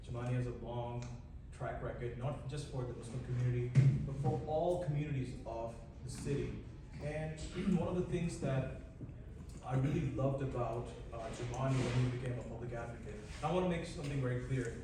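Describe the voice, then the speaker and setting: A man's voice: mid-range voice, brisk, noisy background. A man giving an earnest, emphatic speech at a community gathering. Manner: earnest and emphatic, clarifies points. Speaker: guest speaker. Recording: echoey hall from far off, loud chatter.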